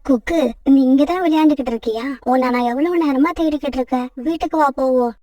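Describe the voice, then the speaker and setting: A woman's voice: high-pitched, fast talker, warm tone, dry recording, recorded from a distance, highs cut off, natural voice. A woman voicing a brave, protective mother sparrow in a children's cartoon story, firm and motherly. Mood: caring.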